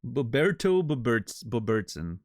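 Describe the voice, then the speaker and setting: A man's voice: mid-range voice, sing-song, a little slow, a little mellow, dry recording, studio quality. A man streaming and chatting casually with his viewers, joking and rambling with many restarts. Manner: rambling, self-interrupting, joking. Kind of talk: casual chat with live chat.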